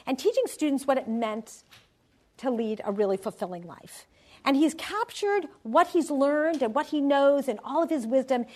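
A woman host introducing a guest speaker to an audience in a warm, personal way. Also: high-pitched.

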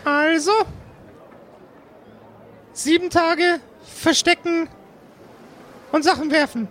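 A man's voice: extremely high pitch, steady tone, slow pace, a bit bright, voice pushed up, exaggerated voice. A man voicing an encouraging fantasy character in an audio play.

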